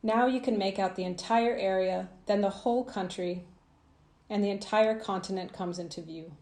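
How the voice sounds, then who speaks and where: A woman narrating a guided visualisation as a coach, in a soothing, gentle, measured manner with phrases that trail off softly. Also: medium pitch, fairly even intonation, roomy sound. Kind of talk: guided meditation, scripted narration.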